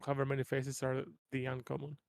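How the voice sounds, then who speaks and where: A man's voice: mid-range voice, monotone, fast, creaky, a little hiss or hum. A man chatting casually as a player in a tabletop role-playing game. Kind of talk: casual chat.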